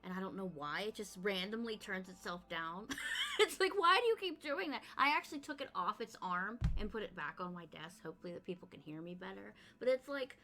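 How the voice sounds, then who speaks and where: An energetic woman hosting a casual podcast chat, her voice bright and forceful. She talks loudly and laughs easily as she goes. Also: mid-range voice, a little fast, a little hiss or hum. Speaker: podcast host.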